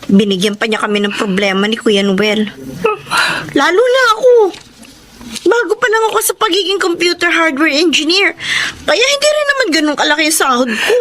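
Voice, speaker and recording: High-pitched aggrieved young Filipina. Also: female voice, lively intonation, moderate pace, fairly bright tone, a little hiss or hum. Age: young adult. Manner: complaining, earnest. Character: aggrieved young daughter, newly working. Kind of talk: Filipino radio drama acting.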